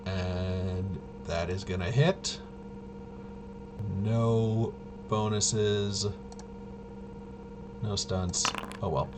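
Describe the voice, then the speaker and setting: A man's voice: low-pitched, steady tone, very slow, long pauses, background noise. A man giving casual play-by-play of a tabletop roleplaying game, muttering and thinking aloud as he goes. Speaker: solo tabletop game player and host.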